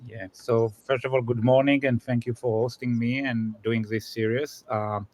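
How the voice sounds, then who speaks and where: A man from Israel with an Israeli accent, a business executive answering interview questions and pausing often on "uh". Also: mid-range voice, steady tone, a little mellow. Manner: hesitant, many "uh" fillers. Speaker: guest, customer success executive.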